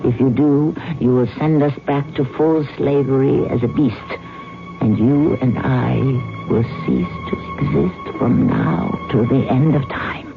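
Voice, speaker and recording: A woman's voice: sits low, wildly swooping pitch, unhurried, exaggerated voice. Sinister, imperious witch, wildly swooping. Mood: commanding. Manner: theatrical, imperious, incantatory. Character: sinister commanding witch, mistress of cat familiars. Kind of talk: old-time radio drama acting. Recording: muffled old radio broadcast, music behind.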